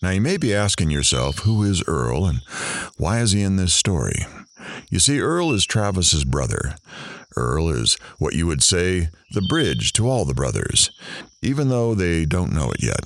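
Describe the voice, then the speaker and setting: A man playing a deep-voiced, sardonic narrator. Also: very animated, moderate pace, slight background noise. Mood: pitying. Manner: sardonic, conversational, theatrical asides. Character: snide, impatient male radio reporter narrating and mocking others. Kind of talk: audio drama narration.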